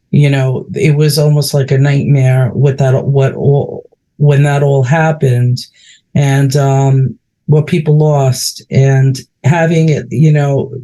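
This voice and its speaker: A man's voice: mid-range voice, mellow. A man from New York with a New York accent, interviewing a guest in a chatty, folksy, heartfelt way. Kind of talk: casual interview chat.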